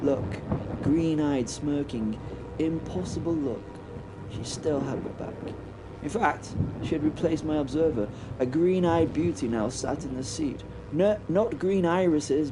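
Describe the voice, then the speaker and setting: A man's voice: on the high side, background noise, natural voice. A man voicing a brash, cocky WW1 pilot, telling his tale wryly and breathlessly, with stammered restarts. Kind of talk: audio drama first-person narration.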